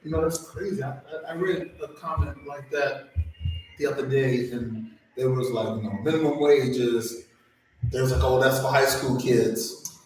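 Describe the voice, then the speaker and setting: A man's voice: medium pitch, normal speed, slight background noise. Slangy man telling stories in an echoey room. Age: 40s. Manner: animated storyteller, slangy. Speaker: host. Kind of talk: casual chat. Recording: echoey room, far from mic.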